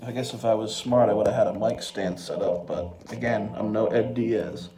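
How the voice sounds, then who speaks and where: A man's voice: on the low side, normal speed, a crowd in the background. A man giving an informal, laid-back hands-on demonstration, thinking aloud as he goes. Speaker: presenter of a music gear tutorial. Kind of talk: casual hands-on software and synth demonstration. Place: indoors beside a synthesizer keyboard. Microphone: handheld phone and separate handheld microphone.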